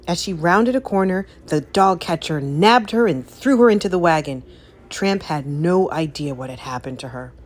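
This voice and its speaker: A woman narrating a children's story as an expressive read-aloud. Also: on the low side.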